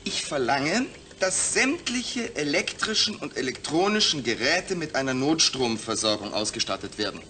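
A man's voice: medium pitch, expressive, moderate pace, few pauses, pushed and bright, a little hiss or hum. A man playing a proud, demanding astronaut patient in a science-fiction radio drama, speaking in an emphatic, articulate way. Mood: defiant, indignant.

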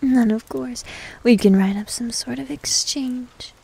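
A woman playing a needy, teasing, doting succubus demon servant in an intimate ASMR roleplay, breathy and mostly whispered, close to the microphone. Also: fairly high pitch, dark tone, exaggerated voice. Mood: sly, seductive. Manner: coy, teasing, slow and drawn-out.

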